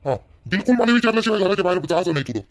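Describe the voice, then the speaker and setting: A man's voice: high voice, expressive, racing, slight background noise. A man playing an angry, controlling young village husband in a family cartoon, scolding and repeating himself in a Vidarbha Marathi accent. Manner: scolding, domineering, repetitive. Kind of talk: family drama cartoon dialogue.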